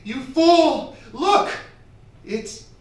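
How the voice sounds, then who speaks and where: A woman playing an excitable, protective young man, urgent and blustering, in a live radio drama. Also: high-pitched, extremely slow, heavily acted. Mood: alarmed, urgent. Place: stage of a live theatre performance. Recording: very echoey hall, distant from mic.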